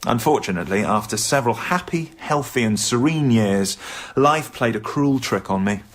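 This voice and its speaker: A man's voice: mid-range voice, expressive, normal speed, lightly acted. Radio comedy role: earnest English comic played by a man, droll, plaintive, very varied intonation. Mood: wry narration. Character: British comedian Graham Chapman, earnest, wry recovering drinker. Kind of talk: radio comedy drama acting and narration.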